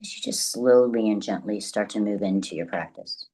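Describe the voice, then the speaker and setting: A woman with a notably deep, low voice guides a meditation. She speaks gently and deliberately, leaving long pauses between phrases. Her delivery is soothing, calm instruction. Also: low-quality recording.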